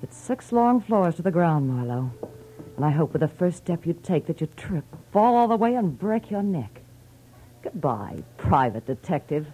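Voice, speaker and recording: A woman's voice: lowish voice, big pitch swings, normal speed, warm tone, slight background noise, low-quality recording. A hard-edged American femme fatale in old radio style. She is cool and curt, with threat and scorn in her delivery. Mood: cold, menacing. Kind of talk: 1940s radio acting.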